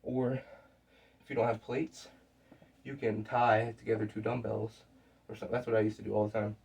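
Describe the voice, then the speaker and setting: A young man with a sick, scratchy voice and a sore throat, talking casually through his workout in a rambling, relaxed way with fillers. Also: lowish voice, fairly quick, vocal fry, husky. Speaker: fitness vlogger. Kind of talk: casual workout vlog talk. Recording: distant mic with faint background noise.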